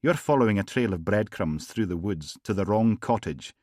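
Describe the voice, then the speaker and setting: A man voicing a smooth, smiling gangster boss with an Edinburgh accent, genteel and falsely courteous. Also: fairly low pitch, lively intonation, moderate pace, darkish tone, no echo, clean studio sound. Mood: silky. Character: smooth, smiling male gangster boss, middle-aged, menacing. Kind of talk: audiobook character voice.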